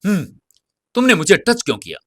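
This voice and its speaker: A man voicing a hot-tempered, haughty young policewoman with a sharp, accusing manner in an audio drama. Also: sits high, huge pitch swings, brisk, voice pushed up, exaggerated voice. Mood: angry. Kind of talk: audio serial character dialogue.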